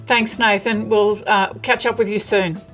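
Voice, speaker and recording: A woman's voice: mid-range voice, flat delivery, moderate pace, a bit bright, soft background music. An Australian woman hosting a casual interview in an appreciative, encouraging manner. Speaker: interviewer, host.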